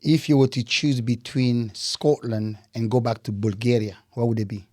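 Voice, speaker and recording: A man's voice: mid-range voice, steady tone, normal speed, darkish tone. A man hosting a podcast, interviewing in a casual style and often repeating words and phrases back. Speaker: podcast host.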